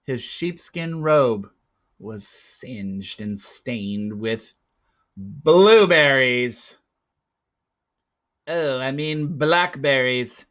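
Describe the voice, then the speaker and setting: A man reading a children's novel aloud with deliberate, dramatic phrasing. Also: medium pitch, fairly bright tone, lo-fi sound. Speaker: story reader. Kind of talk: expressive read-aloud narration of a children's novel.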